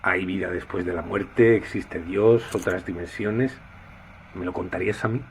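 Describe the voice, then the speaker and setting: A man's voice: low voice, a little slow, slight background noise. A man playing a nosy, bumbling radio interviewer, chatty and hesitant, in a comic sketch. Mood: chatty, curious. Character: bumbling, nosy male radio interviewer caught off guard on air.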